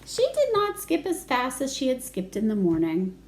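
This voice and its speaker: A woman reads a picture book to young children. Her voice is high and animated, with simple phrasing and chatty asides. Faint noise is audible in the background. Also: moderate pace, poor audio. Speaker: children's storytime reader.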